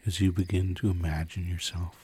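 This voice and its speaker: A man narrating a guided sleep meditation in a slow, soothing, hushed manner with long pauses. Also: deep voice, level tone, husky. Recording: campfire and cricket ambience, faint noise. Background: crickets and crackling fire.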